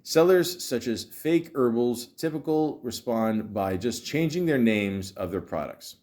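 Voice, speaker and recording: A man hosting a casual comedic podcast, rambling and halting, with profane, sardonic asides. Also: medium pitch, expressive, darkish tone. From Canada. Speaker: podcast host.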